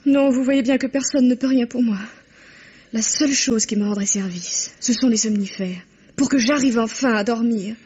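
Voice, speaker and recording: An actress playing a high-strung, outspoken young woman in an old French radio drama. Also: moderate pace, darkish tone, husky. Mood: restless, despairing. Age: young adult. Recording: dull vintage radio recording.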